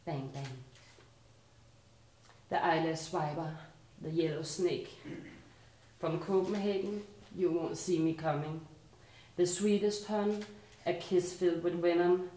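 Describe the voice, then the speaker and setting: Slow, low-voiced Danish woman reciting poetry. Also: reverberant, poor audio. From Denmark. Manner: slow, rhythmic recitation that sinks quieter at line ends. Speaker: poet performing at a live reading. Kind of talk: live poetry reading. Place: art and culture community venue.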